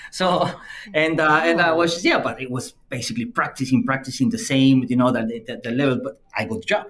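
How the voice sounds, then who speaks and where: A middle-aged man from Peru with a Peruvian Spanish accent, a native Spanish speaker, telling personal stories as a podcast guest. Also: brisk. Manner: lively anecdotal telling, acts out quoted friends in a higher voice. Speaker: podcast guest, company CEO. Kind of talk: conversational storytelling interview.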